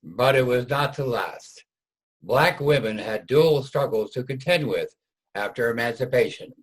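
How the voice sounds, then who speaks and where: Husky man narrating a history script. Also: medium pitch, a little slow, low-quality recording. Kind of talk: scripted narration for reader's theater.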